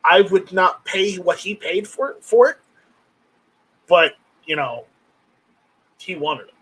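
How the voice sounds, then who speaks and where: Male livestream host, slightly high-pitched voice, unscripted and rambling, halting delivery with repeated words. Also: lively intonation, a bit bright, husky, low-quality recording. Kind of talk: casual unscripted chat about gear.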